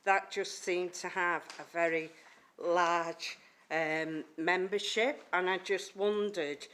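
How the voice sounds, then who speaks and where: A woman with a loud, fairly low voice questions officers at a committee meeting. She asks politely and keeps apologizing. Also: steady tone, slow, clean tone. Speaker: committee member.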